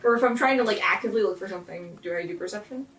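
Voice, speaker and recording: A woman's voice: mid-range voice, racing, over music. A woman chatting casually as a player at a tabletop game.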